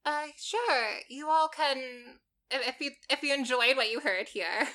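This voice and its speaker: Bright, articulate woman podcast guest. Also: high-pitched, lively intonation, unhurried. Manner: articulate and wordy, with stammered restarts. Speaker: podcast guest, college teacher. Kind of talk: casual podcast chat.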